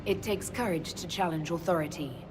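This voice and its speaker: A woman's voice: lowish voice, normal speed, close to an everyday voice, low-quality recording. A woman playing a composed, inquisitive fantasy Inquisitor in a video game, speaking in a questioning, diplomatic way. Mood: probing, composed. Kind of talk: video game cutscene dialogue. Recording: game music behind the voice. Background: music.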